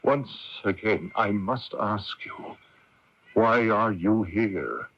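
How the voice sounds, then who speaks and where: An actor playing a weary, idealistic imprisoned male patriot in a radio mystery drama, earnest and halting. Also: on the low side. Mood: defiant. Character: weary, idealistic imprisoned Irish patriot, resigned yet defiant. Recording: dull lo-fi radio recording.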